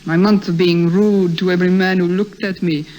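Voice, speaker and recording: A woman's voice: fairly low pitch, fairly even intonation, a little fast, a little mellow, natural voice. Woman playing a coy, elegant society lady. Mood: coy, confiding. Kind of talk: 1950s radio drama acting.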